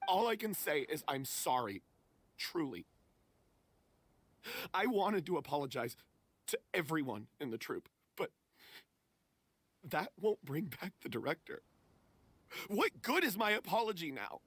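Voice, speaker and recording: A remorseful young-sounding stage performer confessing a terrible mistake. His voice is husky and swings widely in pitch, captured close and dry. He sounds self-blaming, in a dramatic game style. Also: very animated, a little slow, long pauses, no echo, exaggerated voice. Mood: despairing, self-loathing. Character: guilt-ridden male theater troupe member, confessing and self-loathing. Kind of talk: dramatic voice acting.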